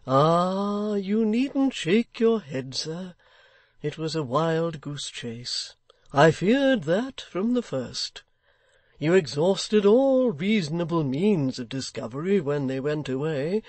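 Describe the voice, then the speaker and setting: A man's voice: on the high side, very animated, slow, no echo, voice pushed up, full character voice. A man reading aloud, voicing a kindly, plain-spoken middle-aged locksmith who talks earnestly and respectfully. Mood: resigned. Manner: earnest, respectful, gently persuasive. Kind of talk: audiobook character voice.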